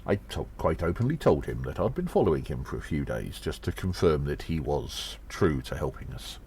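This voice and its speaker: A man playing an earnest undercover gnome agent in an audio drama, reporting in a measured, halting, formal and conspiratorial way. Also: very deep voice, big pitch swings, darkish tone, slight background noise, heavily acted. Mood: confiding, measured. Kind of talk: first-person audio drama monologue, spoken report.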